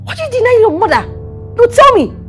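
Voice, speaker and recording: A woman's voice: fairly high pitch, sing-song, moderate pace, soft background music. An actress with a Nigerian accent playing a haughty, scheming, hot-tempered young heiress in a film drama, emphatic and repeating her phrases. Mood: scolding. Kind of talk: melodramatic film acting.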